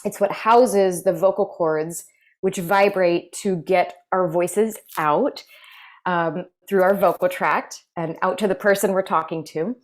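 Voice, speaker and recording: A woman voice clinician giving measured, explanatory interview answers with frequent fillers. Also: lowish voice, fairly even intonation. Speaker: voice clinical specialist.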